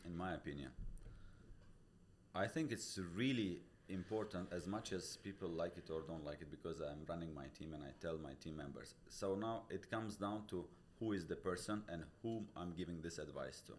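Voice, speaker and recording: A man's voice: low voice, moderate pace, slight background noise. A man from Tajikistan, a real estate broker, explaining himself earnestly as a podcast guest, with a non-native English accent. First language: Pamir dialect. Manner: earnest, explains step by step with many restarts. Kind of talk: casual interview talk. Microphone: studio podcast mic.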